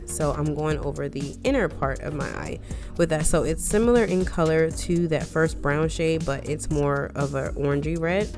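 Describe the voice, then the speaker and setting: A woman's voice: low-pitched, moderate pace, music in the background. A woman hosting a casual makeup tutorial, chatty and rambling with asides, her voice a bit congested and scratchy from a cold. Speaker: beauty vlogger.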